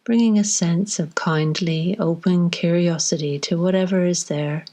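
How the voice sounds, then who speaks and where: A woman leading a guided body scan meditation, giving slow, gentle instructions with long pauses between phrases. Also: fairly low pitch.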